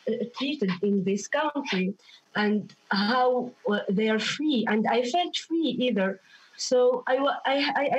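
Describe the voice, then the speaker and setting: A woman's voice: fairly high pitch, a little mellow. A young woman from Tunisia answering interview questions, hesitant, restarting and repeating words as she searches for them. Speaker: guest activist. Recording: dull lo-fi remote call audio.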